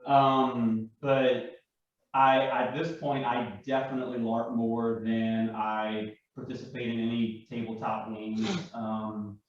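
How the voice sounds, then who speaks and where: A man tells stories in a loose, conversational way, like a hobbyist guest on a panel. His voice is average in pitch. It sounds far from the microphone, with heavy room echo. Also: level tone, slow, slight background noise. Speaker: live action roleplay enthusiast, panel guest. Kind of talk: casual conversational storytelling. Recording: very echoey room, distant mic, muffled.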